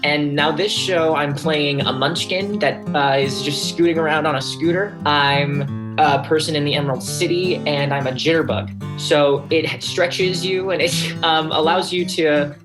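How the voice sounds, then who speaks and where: A man's voice: fairly high pitch, a little slow, hardly any pauses. Young man reflecting over plucked guitar. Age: young adult. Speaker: voice and stage actor, interview guest. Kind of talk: casual interview reflection. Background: music, plucked guitar.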